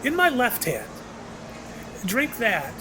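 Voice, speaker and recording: A man's voice: very high-pitched, huge pitch swings, slow pace, full character voice. A man acting in an audio play as a mysterious, courteous and apologetic shopkeeper who speaks hesitantly. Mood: cryptic, calm. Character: mysterious, apologetic male shopkeeper offering tastes of time. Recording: clearly noisy background.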